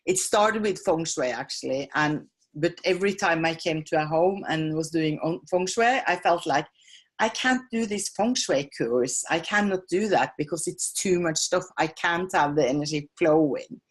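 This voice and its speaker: A woman teaching feng shui and decluttering in a live webinar. English is not her first language, and she talks haltingly, with many fillers and self-corrections. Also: low-pitched, expressive. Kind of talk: live webinar sales pitch and Q&A. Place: home on a small island.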